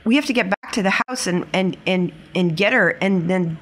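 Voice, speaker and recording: A woman playing an anxious niece who speaks haltingly in a tabletop game. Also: fairly low pitch, lightly acted. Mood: anxious, urgent. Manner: halting, repeats words when flustered. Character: anxious young niece, worried for her mother.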